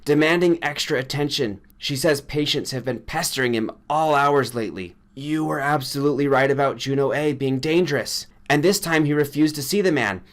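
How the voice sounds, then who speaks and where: A man's voice: fairly high pitch, brisk, few pauses, pitched above the performer's own voice, lightly acted. A man playing an agitated male colleague in an audiobook, urgent and raised in voice. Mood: alarmed. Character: agitated male colleague bringing grim news.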